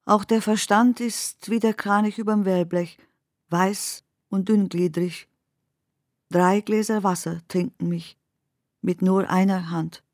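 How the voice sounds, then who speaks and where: A woman reciting literary poetry for a radio play in measured, deliberate phrases. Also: medium pitch, fairly even intonation, darkish tone, no room sound, studio quality.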